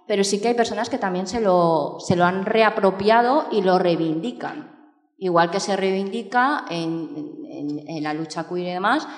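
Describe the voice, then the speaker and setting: Woman filmmaker, thinks out loud, lots of "eh", echoey big room. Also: medium pitch. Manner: reflective, many fillers and restarts. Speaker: documentary filmmaker. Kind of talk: thoughtful interview talk. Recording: echoey room with long reverb.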